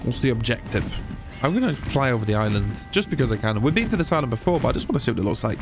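A young man with a cold and a slightly nasal, blocked-nose voice, chatting excitably through a game playthrough. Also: mid-range voice, rapid-fire, music in the background. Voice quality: a bit nasal. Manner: chatty, excitable, rambling asides. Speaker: gaming YouTuber. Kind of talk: casual let's-play commentary.

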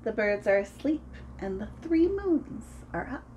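A woman in her thirties reading a picture book aloud to preschoolers in an expressive, sing-song way, as host of a story time. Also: medium pitch, a little slow, a little hiss or hum. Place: small room at home, indoors.

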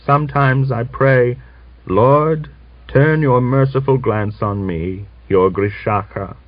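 Man putting on a preachy old man near a hundred, bitter and scolding, pitched higher, dull dry recording. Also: dry recording. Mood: wistful. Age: older. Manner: scolding, preachy, slow scripture reading.

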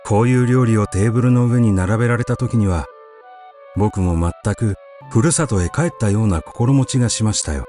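A man reading a literary short story aloud as an audiobook narrator, with measured, expressive storytelling. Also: fairly low pitch, faint music behind.

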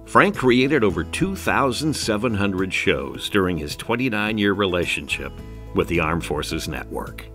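Deep-voiced documentary narrator over music. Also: male voice, animated, unhurried, fairly bright tone. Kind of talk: scripted narration. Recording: music bed under voice.

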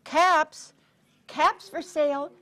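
A woman voicing a hopeful male street peddler with a drawn-out, sing-song vendor's cry in a children's story. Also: high-pitched, fairly even intonation, fairly bright tone, pitched above the performer's own voice, full character voice. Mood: calling out.

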